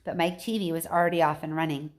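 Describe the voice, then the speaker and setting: A woman's voice: deep voice, fairly even intonation, quick pace. A woman reading a children's book aloud like a teacher, expressively, with casual chatty asides.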